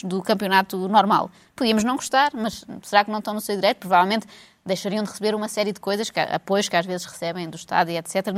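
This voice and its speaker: A woman with a European Portuguese accent, co-hosting a casual chat and ranting sarcastically about football and money. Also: mid-range voice. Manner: sarcastic, animated rant.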